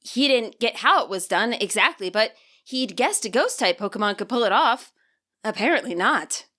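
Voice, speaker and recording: A woman reading her own fan fiction aloud as an audiobook, with expressive storytelling. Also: on the high side, moderate pace. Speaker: fanfiction author reading her podfic. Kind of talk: audiobook narration.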